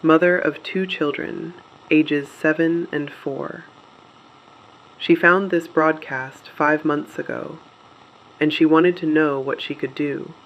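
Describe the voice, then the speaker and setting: A performer playing a solemn resistance radio broadcaster, reading names of the missing in a grave, measured, scripted delivery. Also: mid-range voice, steady tone, a bit bright, lo-fi sound. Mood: solemn, grieving. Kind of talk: scripted dystopian audio drama narration.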